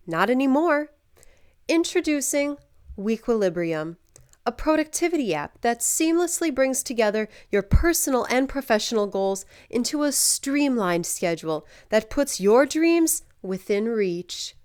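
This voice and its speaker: A woman reading scripted commercial and promo narration with a polished, clearly articulated announcer delivery. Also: a little high, very animated, unhurried, darkish tone. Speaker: voice-over artist.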